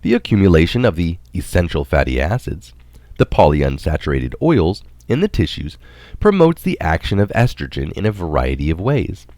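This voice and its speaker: A man narrating a scientific article aloud in a deliberate, explanatory manner. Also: deep voice, sing-song, darkish tone, dry recording. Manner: deliberate, marks quotations aloud.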